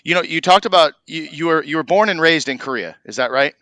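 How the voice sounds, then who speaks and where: A man's voice: fairly high pitch, quick pace, pushed and bright, dry recording. A man hosting a podcast, friendly and asking follow-up questions of his guest. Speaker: podcast host. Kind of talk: interviewing a guest.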